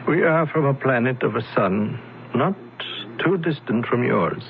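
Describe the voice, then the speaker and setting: An actor playing a calm, solemn male alien envoy in a radio drama, speaking slowly and formally. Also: mid-range voice, vocal fry, background noise. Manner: slow, measured, formal. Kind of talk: 1970s radio drama acting. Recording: dull lo-fi old radio broadcast.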